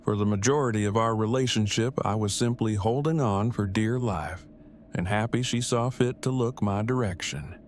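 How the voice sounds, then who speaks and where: A man's voice: on the low side, normal speed, a little hiss or hum, natural voice. A man playing a wordy, self-deprecating, heartbroken nerd who speaks in stilted formal phrases in an audio drama. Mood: resigned, self-deprecating.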